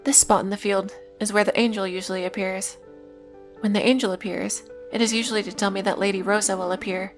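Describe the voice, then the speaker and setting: A woman plays a devout female cult leader from a horror web series. She gives solemn instructions in formal, stilted sentences, commanding at a middle pitch. Faint music sits behind her. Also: fairly even intonation, a little fast, lightly acted. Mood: solemn, welcoming.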